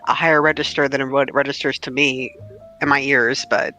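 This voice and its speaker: A woman's voice: very deep voice, fairly even intonation, a little fast, pushed and bright, soft background music, rough recording. A woman talking casually and informally on a livestream with friends.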